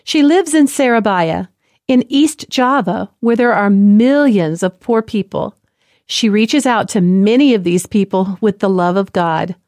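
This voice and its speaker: A woman narrating a story in a gentle, clear, measured reading style. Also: mid-range voice, no room sound, studio quality. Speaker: presenter.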